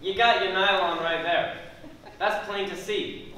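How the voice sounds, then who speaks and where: A man's voice: high voice, fairly even intonation, a little slow, pushed and bright. A man playing a folksy, stubborn oddball country customer in a stage comedy, with rustic old-fashioned phrasing. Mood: cheerfully odd. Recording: distant, very echoey, noisy theatre stage recording.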